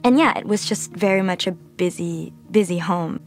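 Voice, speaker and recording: A woman from the Philippines, speaking reflectively and thoughtfully in an interview, with halting pauses. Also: fairly low pitch, soft background music. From Philippines (Manila). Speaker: interview guest, photojournalist.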